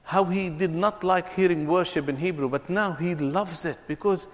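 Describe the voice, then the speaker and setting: A man's voice: sits high, normal speed. Man, reconciliation speaker, earnest and repetitive storyteller, reverberant hall sound. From Palestine. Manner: earnest storytelling, often repeats words. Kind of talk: speech to an audience.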